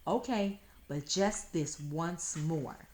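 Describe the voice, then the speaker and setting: A woman's voice: deep voice, slow pace, darkish tone. Woman as a sassy, unimpressed little country girl. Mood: smug. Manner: matter-of-fact teasing in rural dialect.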